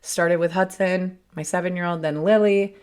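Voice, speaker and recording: A woman in her thirties talks through her podcast in a chatty, upbeat way, often breaking off mid-thought. Her voice sits a little low and is raspy, roughened further by a cold. She speaks into a desk mic. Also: fairly even intonation, normal speed, a little mellow. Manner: chatty, self-interrupting, upbeat. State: sick, a bit hoarse. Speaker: podcast host. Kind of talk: casual solo podcast talk.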